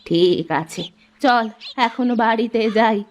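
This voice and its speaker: A woman voicing a kindly, motherly old village woman who speaks in a slow, drawn-out way, for a cartoon. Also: a little high, slight background noise. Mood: gentle, instructing.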